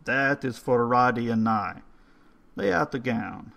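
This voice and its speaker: A man voicing an old undertaker who speaks in a formal, slow and ominous way. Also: medium pitch, creaky, a bit bright, muffled recording. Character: old Hungarian male undertaker, courtly and eerie.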